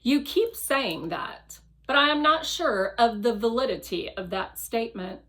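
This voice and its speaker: A woman acts out a seasoned guardian machine in a children's tale. It speaks with matter-of-fact authority and little patience for doubt. The delivery is blunt and unhurried. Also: on the high side, lively intonation, fairly bright tone, pitched above the performer's own voice. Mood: stern, knowing. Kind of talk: children's storybook read-aloud.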